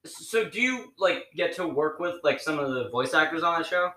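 Man, podcast host, bright tone, hesitant questions, echoey room. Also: a little high, animated, fairly quick. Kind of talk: interview questions.

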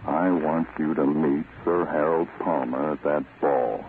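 Authoritative spy chief, deep register, deliberate instructions, old radio drama, thin noisy sound. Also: male voice, steady tone. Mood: curt, secretive. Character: commanding male American counter-spy chief, brisk and secretive. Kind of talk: 1940s radio drama acting. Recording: tinny, noisy vintage radio recording.

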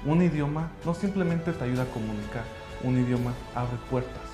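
A man whose first language is English gives an earnest, emphatic motivational talk about his language school, speaking in halting phrases. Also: mid-range voice. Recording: roomy echo with faint music.

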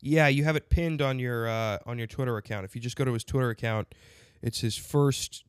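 Close on a dry, dead podcast mic, a man with a cold hosts his show in a rambling, sarcastic way. He keeps circling back with fillers and restarts, his pitch sitting at a middling level. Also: brisk, clean tone, dry recording. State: has a cold with a sinus infection. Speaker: podcast host. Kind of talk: casual podcast interview.

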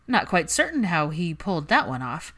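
A woman voicing a haughty, sharp-tongued young witch with a huffy, dramatic manner in a story reading. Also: lowish voice, very animated, moderate pace. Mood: grudging.

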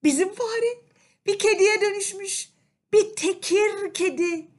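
A woman storyteller narrating a children's fairy tale in an animated, expressive manner. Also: extremely high pitch, slow pace.

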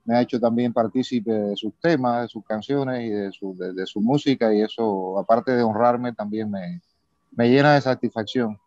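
A man's voice: medium pitch, moderate pace, a little mellow, no room sound. Cuban male singer as interview guest, polite and reflective, heard over a dull, dry remote line. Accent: Cuban Spanish. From eastern Cuba. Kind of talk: casual interview.

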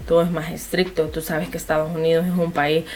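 A woman's voice: deep voice, little pitch movement, a little fast, a little mellow, slight background noise. A woman with a Honduran Spanish accent, an interview guest telling a personal story in casual conversation, explaining things directly to the listener.

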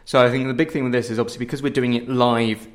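Male theatre director, quick conversational delivery, thoughtful and earnest, recorded in an echoey room. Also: medium pitch, fairly even intonation. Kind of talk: casual conversational interview. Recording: roomy, echoey sound.

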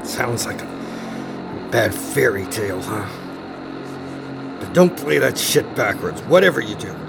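A paranoid male inmate speaks slowly with a gravelly creak. He is fervent and brooding, halting and repeating himself, in a horror radio drama with music behind. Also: lowish voice, animated, full character voice. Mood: haunted, agitated. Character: disturbed locked-up man, paranoid, fervent conspiracy believer. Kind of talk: horror audio drama acting.

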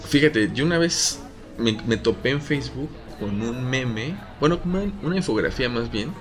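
A Mexican man talking casually on a podcast, full of slang and quick jokes as he rambles and restarts his sentences. His voice sits at a middle pitch, and clear music plays behind him. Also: animated. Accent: Mexican Spanish. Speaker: podcast co-host. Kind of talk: casual chat.

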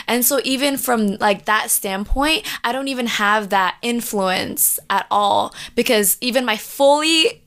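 Young woman from Jamaica, casual conversation, emphatic, keeps checking you're following, voice sits a bit high. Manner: animated, emphatic, keeps asking "do you know what I'm saying?". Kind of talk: casual chat.

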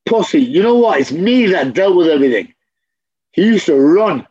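A man's voice: a little high, big pitch swings, normal speed, darkish tone, husky, dull audio. A man, a guest in a candid interview, talking bluntly and profanely and hammering points home by repeating them. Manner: blunt, profane, emphatic repetition. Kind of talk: candid conversation.

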